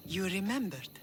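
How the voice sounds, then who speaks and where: A woman acting a caring, steady middle-aged mother in a video game, with a tender, reassuring manner. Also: mid-range voice. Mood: fond.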